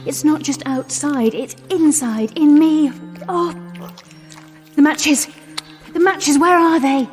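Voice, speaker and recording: A woman's voice: high voice, a little mellow. An actress playing a frightened but resolute young Englishwoman in a 1920s period radio drama, speaking urgently in an English accent. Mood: desperate. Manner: urgent, anxious. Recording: long reverberant space, music underneath. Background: music.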